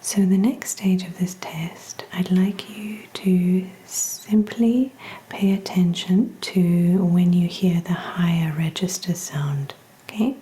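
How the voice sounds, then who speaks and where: A woman speaking in a breathy whisper, gently reassuring and encouraging, as an examiner in an ASMR medical role-play. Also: lowish voice, a little hiss or hum. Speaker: ASMR performer role-playing a hearing examiner.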